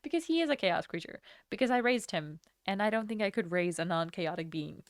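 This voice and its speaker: A woman's voice: medium pitch, expressive, fairly quick, no room sound. Chatty young woman streamer, dry sound. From Latvia. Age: young adult. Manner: chatty and rambling, swears freely. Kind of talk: casual live-stream chat.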